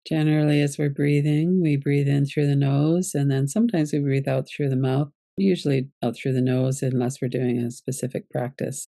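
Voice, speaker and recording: A woman teaching yoga nidra, leading a guided relaxation meditation in a calm, gentle, unhurried way. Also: extremely low pitch, gravelly, no room sound.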